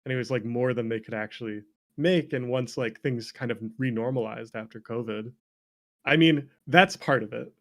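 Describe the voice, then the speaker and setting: A man speaking as a game developer guest in a casual conversation, candid and animated, and quick to laugh. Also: medium pitch.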